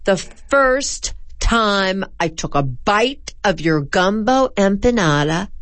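A woman's voice: medium pitch, animated, takes it slowly, a bit bright, a little hiss or hum. A woman hosting a food radio program, speaking in an effusive, emphatic manner. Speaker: radio host and interviewer. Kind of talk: food interview and scripted radio narration.

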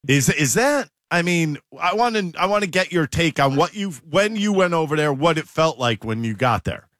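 A man's voice: fairly high pitch, animated, moderate pace, a bit bright, no room sound, studio quality. A man co-hosting a sports radio show, tossing out chatty, playful questions. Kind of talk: casual radio chat. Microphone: studio microphone.

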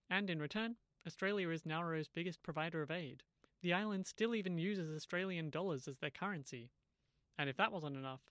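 Higher-voiced male reporter, dry sound. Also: a bit bright, no room sound. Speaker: radio reporter and interviewer. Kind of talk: scripted radio narration and interview questions.

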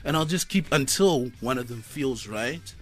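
A man's voice: mid-range voice, expressive, normal speed, faint music behind. A man, a voice-over artist chatting casually in a radio studio, laughing often.

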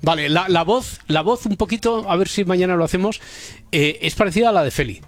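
A man's voice: high-pitched, fairly quick, very noisy. A man with an accent from Spain hosting a radio show in casual banter, often repeating his phrases for emphasis. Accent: Spanish from Spain.

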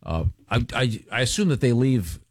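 A man's voice: medium pitch, animated, moderate pace, breathy edge, no echo. A man hosting a radio show, talking in a rambling, hesitant way with dry jokes. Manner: rambling, hesitant, wry humor. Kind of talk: casual radio talk. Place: radio studio.

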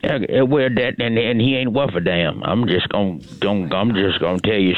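A man's voice: fairly low pitch, fairly quick, no room sound, highs cut off, close to an everyday voice. A man voicing a cantankerous old great-grandmother on the phone, rambling, repeating herself and cussing. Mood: scornful. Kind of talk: prank phone call comedy bit.

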